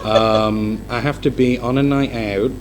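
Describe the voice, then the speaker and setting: A man's voice: mid-range voice, unhurried. Young man, English teacher, casual live chat, easygoing and wry, faint room noise. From Manchester, England. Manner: relaxed, self-deprecating humor.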